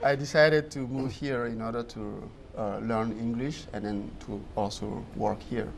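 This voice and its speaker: Gravelly-voiced man from Guinea, French native. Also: medium pitch, fairly even intonation, background noise. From Guinea, West Africa. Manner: earnest, measured, laughs easily. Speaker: guest. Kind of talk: casual interview answers.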